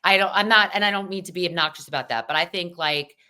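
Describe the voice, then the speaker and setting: A woman's voice: on the low side, fast, bright, forceful tone, clear, resonant tone. A middle-aged American woman chatting casually as a podcast guest, full of fillers and restarts. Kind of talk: casual chat.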